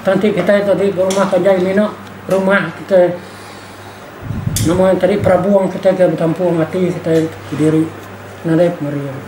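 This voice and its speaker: A man's voice: high-pitched, fairly even intonation, normal speed. An older man, speaking informally and emphatically as an interviewee, often repeating himself and adding questioning tags. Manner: emphatic, repetitive, with rhetorical tag questions. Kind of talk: informal spoken reflection. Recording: roomy echo, faint background noise.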